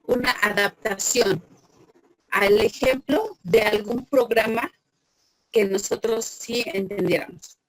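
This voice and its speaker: A woman's voice: medium pitch, slow pace, no echo, poor audio. A woman teacher, lecturing an online class, patiently questioning students and praising them by name.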